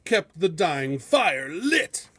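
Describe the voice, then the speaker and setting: Male storybook narrator, high register, unhurried delivery, dramatic and measured, suited to heroic verse. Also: very animated, bright, forceful tone, husky. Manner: theatrical, measured, epic storytelling. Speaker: storybook reader.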